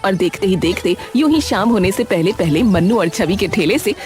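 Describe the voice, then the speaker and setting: Expressive woman storyteller over music. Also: medium pitch, normal speed. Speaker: storyteller, narrator. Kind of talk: scripted Hindi story narration. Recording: music bed under the voice.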